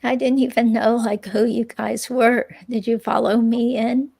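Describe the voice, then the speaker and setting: Woman reading as a chatty but unsure ex-girlfriend in a police interview, slow and flat, halting casual delivery. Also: a little high, gravelly. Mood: hesitant, casual. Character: hesitant, chatty ex-girlfriend being questioned by police. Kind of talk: dramatic reading of an interview transcript.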